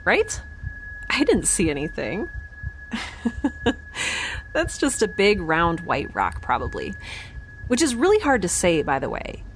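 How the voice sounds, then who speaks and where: A woman playing an excitable, optimistic young woman in space in a naturalistic audio drama, informal, gushing and interrupting herself. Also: on the low side, slow pace, long pauses, background noise, exaggerated voice. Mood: dazed, musing.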